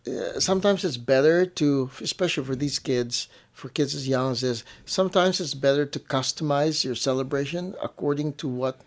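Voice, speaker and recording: A young man with an average-pitched voice shares stories in a casual, conversational way. He talks like a parent co-hosting a family show. He often circles back to repeat or rephrase what he just said for emphasis. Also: moderate pace, hardly any pauses.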